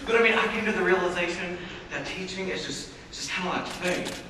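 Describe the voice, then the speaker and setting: A young man performing a haunted male high school English teacher, who talks in halting restarts and wry, self-mocking laughs, in a live dramatic interpretation. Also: natural voice. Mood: wistful, haunted. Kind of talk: competitive dramatic interpretation monologue. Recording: echoey hall from far off, noisy.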